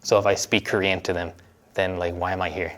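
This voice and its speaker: A young man from the United States chatting casually in an interview, relaxed and often laughing as he talks. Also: low-pitched, fairly quick. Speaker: interviewee, university student.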